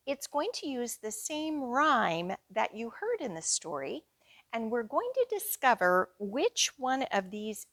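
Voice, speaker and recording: A woman hosting a children's storytime, reading aloud with deliberate, expressive, sing-song phrasing. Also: medium pitch. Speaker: children's storytime host reading picture books.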